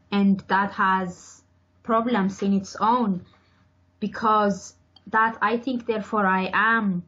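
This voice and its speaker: Young woman from Ethiopia, interview guest, slow and thoughtful, a bit muffled. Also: mid-range voice, fairly bright tone. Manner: thoughtful, hesitant with fillers and repeated words. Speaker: podcast guest, PhD student. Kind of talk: interview answers.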